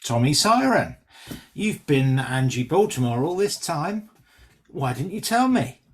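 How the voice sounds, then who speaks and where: A man playing a brash, quick-witted stand-up comedian with sardonic, teasing banter in a script reading. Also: a little high, very animated. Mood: wry.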